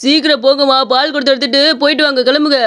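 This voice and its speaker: A woman voicing an excitable, easily scared village big brother in an animated comedy, complaining in rural Tamil Nadu speech. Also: high-pitched, very fast. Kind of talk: animated comedy story voice acting.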